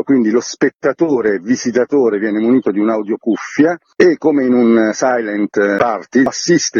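A man with a very husky, mid-pitched voice gives a radio interview. He explains as a theatre director, measured and descriptive. The recording is somewhat dull. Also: darkish tone.

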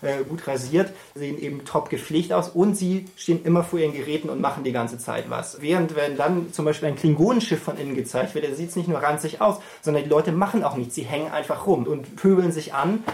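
A man with a slightly high voice speaks as an interviewed commentator. He explains his ideas spontaneously and analytically. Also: a little fast, hardly any pauses, darkish tone.

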